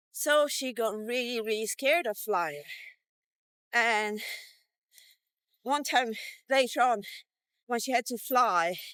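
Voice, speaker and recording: A woman in her fifties tells a personal tale in a casual, candid style, with some swearing. Her voice sits a little high and she takes her time, rambling from one thought to the next. The recording is dry and close, with very little room sound. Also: fairly bright tone, smooth, no echo. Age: 50s. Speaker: vlogger. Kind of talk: casual personal storytelling, told in third person. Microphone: portable mic, walkie-talkie vlog.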